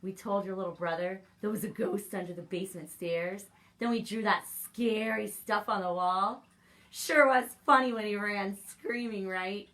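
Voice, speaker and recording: A woman's voice: medium pitch, normal speed, fairly bright tone, lightly acted, low-quality recording. A woman voicing a timid crayon that pleads with its friend, in a children's storybook reading. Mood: chummy. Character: scared glow-in-the-dark crayon, pleading timidly.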